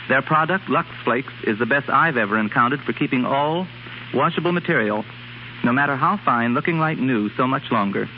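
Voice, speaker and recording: A man, a fashion expert guest, giving a scripted talk in 1930s radio style. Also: medium pitch. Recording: hissy muffled 1930s radio recording.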